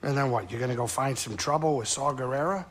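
A man voicing a gruff, warm-hearted alien saloon owner in a video game, excitable, blustering and teasing. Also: medium pitch, fast talker, a little hiss or hum, lightly acted. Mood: concerned. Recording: music behind the voice.

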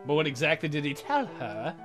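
Female let's player, wry and irreverent, animated reading of game text over background music. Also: sits low, fairly quick. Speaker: let's play host. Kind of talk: reading a visual novel aloud with asides.

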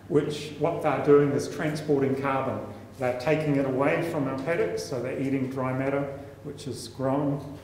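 A man's voice: mid-range voice, a little mellow. A man, giving a seminar talk as a university researcher, explaining step by step with frequent ums and restarts. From New Zealand. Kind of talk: conference talk. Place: meeting room, indoors. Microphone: stand mic at a lectern. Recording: echoey hall, faint room noise.

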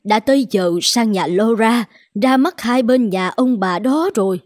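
A woman's voice: on the high side, lively intonation, measured pace, a little mellow, dry recording, faint music behind. A practical older cartoon mother. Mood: brisk, coaxing. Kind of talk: animated fairy tale dubbing.

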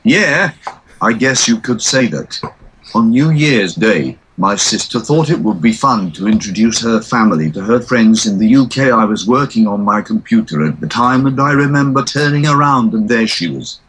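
A man's voice: lowish voice, moderate pace, a little hiss or hum, rough recording. A man from South Africa answering interview questions through a text-to-speech voice with a British accent, which sounds the same no matter how he feels. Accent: British English (synthetic voice). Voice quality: monotone synthetic speech that cannot convey emotion. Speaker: interview guest.

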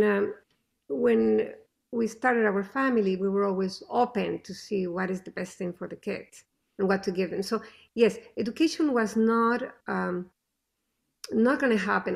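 A woman with a thick foreign accent, speaking earnestly as a parent guest in an interview, often pausing and restarting. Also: mid-range voice, vocal fry, a little mellow. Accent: non-native English accent. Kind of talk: candid conversational interview.

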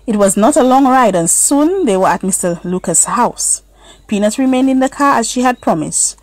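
Woman reading a children's story, lively intonation. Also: fairly high pitch, normal speed, no echo, low-quality recording. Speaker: storybook narrator. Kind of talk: scripted children's story narration.

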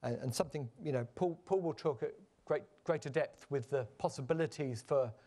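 A man giving a measured, explanatory conference presentation, with frequent fillers and restarts. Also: mid-range voice, dark tone. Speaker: conference panel speaker. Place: conference stage.

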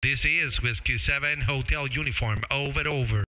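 A man's voice: medium pitch, moderate pace, sharp tone, low-quality recording. A middle-aged man making casual ham radio contact as the operator, speaking hesitantly and repeating words and call signs, close on a studio microphone. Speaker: amateur radio operator and live streamer. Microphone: boom-arm studio microphone close to mouth, with headset.

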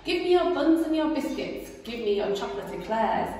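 A greedy, bullying robber rat booms out his demands in a loud, raised voice, as a woman reads him aloud. The sound is roomy and distant, with a long echo trailing each line. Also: big pitch swings, normal speed, vocal fry. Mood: bellowing. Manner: booming, bellowing demands. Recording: echoey room from far off.

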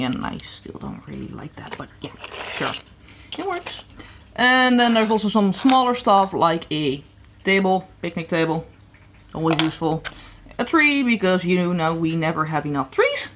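A woman's voice: medium pitch, a bit bright. A woman from the Netherlands chatting casually through a toy review, hesitant with many fillers and restarts. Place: indoor room at a tabletop set.

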